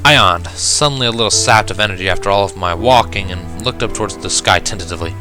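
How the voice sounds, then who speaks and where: A man narrating a first-person story in an expressive, reflective and dramatic way. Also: low-pitched, quick pace. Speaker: reader of fan fiction. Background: background music.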